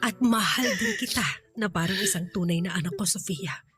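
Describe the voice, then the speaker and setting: A woman's voice: medium pitch, sing-song, moderate pace, a bit bright, soft background music. A woman playing a protective, forgiving middle-aged aunt in a radio drama, soothing and earnest. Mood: tender, earnest. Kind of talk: Filipino radio drama acting.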